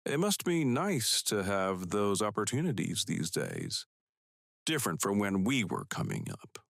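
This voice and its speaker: A man's voice: fairly low pitch, huge pitch swings, measured pace, vocal fry, fairly bright tone, no room sound, clean studio sound, heavily acted. An actor playing a blustering, condescending older man in an audiobook, with a folksy, patronizing manner. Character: blustering, condescending older man, bushy-browed family friend. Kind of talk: audiobook character acting.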